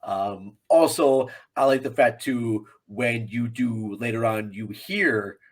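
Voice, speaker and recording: An American man talks in a casual, rambling way as a guest commentator on a film podcast. His voice is husky and sits at an ordinary male pitch, and he repeats phrases to drive a point home. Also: slow pace.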